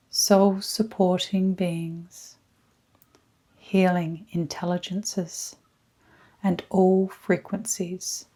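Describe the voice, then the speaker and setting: A woman guides a meditation in a very unhurried, measured voice. Her tone is mellow and hushed, staying quite even in pitch. She pauses often between short, soothing phrases, with some breathiness. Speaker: guided meditation leader, energy healer. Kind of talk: slow scripted guided meditation.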